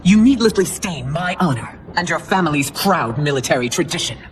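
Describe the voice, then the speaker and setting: A stern, commanding empress. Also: female voice, deep voice, lively intonation, brisk, slight background noise. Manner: formal, commanding, scolding. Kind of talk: video game cutscene acting. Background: game music.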